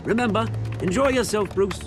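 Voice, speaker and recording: An actor playing a smug, taunting rich villain with a British accent in a video game, mocking and theatrical. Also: male voice, high voice, normal speed, lightly acted. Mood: taunting, menacing. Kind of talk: video game cutscene acting. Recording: music behind the voice.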